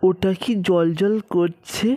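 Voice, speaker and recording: A woman's voice: fairly low pitch, fairly even intonation, measured pace, warm tone, no echo, natural voice. A woman voicing a naive, greedy, playful Moon character in a children's cartoon. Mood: curious, tempted. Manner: naive, exclaiming.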